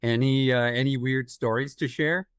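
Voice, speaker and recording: A shy, socially awkward writer, played by a man in an audio drama, speaking at medium pitch. His delivery is halting and apologetic, and the recording is close and dry. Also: fairly even intonation, smooth, no echo, natural voice. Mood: shy, hesitant. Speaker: actor in an audio play. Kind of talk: naturalistic audio drama dialogue.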